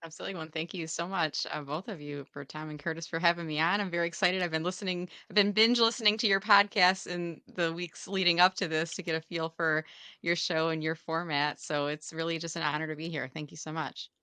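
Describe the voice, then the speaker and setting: A woman with a low-pitched, bright voice speaks as an interview guest. She sounds like a coach explaining her work in conversation, and the sound is very dry and close. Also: fairly even intonation, brisk, smooth, dry recording. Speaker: LinkedIn coach, podcast guest.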